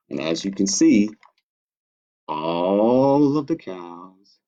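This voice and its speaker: A man reading a children's story aloud as a storytime host, playful and deliberate in his delivery. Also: mid-range voice, a little mellow. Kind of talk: expressive children's picture-book storytelling. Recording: dull lo-fi stream audio.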